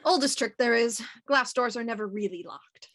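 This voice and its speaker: Period detective inspector, female, bright crisp-edged tone, slightly high, wry, self-assured, unflappable, audio drama. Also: moderate pace. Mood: wry, knowing. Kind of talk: audio drama acting, Victorian murder mystery radio program.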